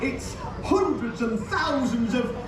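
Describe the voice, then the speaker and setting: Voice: medium pitch, animated, slow pace, over a noisy crowd. An actor playing a panicked, fawning villager in a comic children's puppet show. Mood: panicked, pleading. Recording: echoey theatre, distant mic, audience noise.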